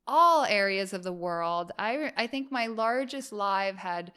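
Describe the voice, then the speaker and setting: A yoga and fitness instructor from the United States tells her story in a relaxed interview. She talks loosely, circling back with fillers and restarts as she goes. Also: mid-range voice, measured pace, smooth. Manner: chatty, with frequent fillers and restarts. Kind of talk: casual interview chat. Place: home, Miami.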